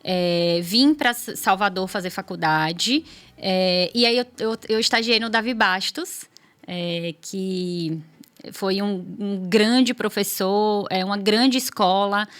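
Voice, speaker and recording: A woman's voice: medium pitch, slow. A woman in her thirties with a Northeastern Brazilian accent, chatting casually as a podcast guest and telling stories from her career. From Aracaju, Sergipe, Brazil. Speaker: podcast guest, architect and production course teacher. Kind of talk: casual podcast conversation, personal storytelling.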